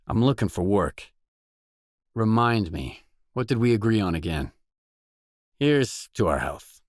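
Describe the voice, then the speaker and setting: An actor playing a wary, blunt mercenary stalker in a video game, with terse, dry, matter-of-fact delivery. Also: male voice, low voice, no echo. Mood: low, subdued. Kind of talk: scripted game voice lines.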